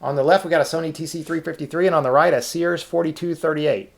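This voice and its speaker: A man's voice: fairly high pitch, steady tone, fast, creaky. Guy doing a casual demo narration, informal, kinda feeling his way through the explanation. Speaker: video host.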